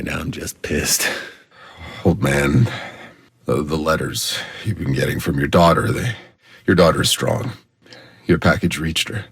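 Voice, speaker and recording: A man playing a gruff, weary, sarcastic soldier as a video game protagonist, terse and hard-bitten with a deep, gravelly voice. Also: fairly bright tone, full character voice. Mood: guarded. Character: gruff, weary, sarcastic male Outrider soldier on a hostile planet. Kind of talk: dramatic video game voice acting.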